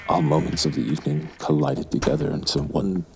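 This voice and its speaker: A man playing a brooding, lustful, menacing narrator in a horror audio drama, with slow, deliberate, breathy delivery. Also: a bass voice, steady tone, a little hiss or hum. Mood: brooding, smug. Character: brooding, lustful, menacing male narrator recounting a dark memory.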